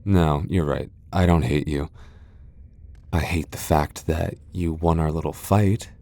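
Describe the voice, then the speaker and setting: Casting: male actor as haughty tsundere vampire lord, low-pitched, prickly and easily flustered, for intimate boyfriend roleplay audio. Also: steady tone, unhurried, mellow, dry recording. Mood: grudging, flustered. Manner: haughty, defensive, stammering when flustered. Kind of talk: ASMR boyfriend roleplay acting.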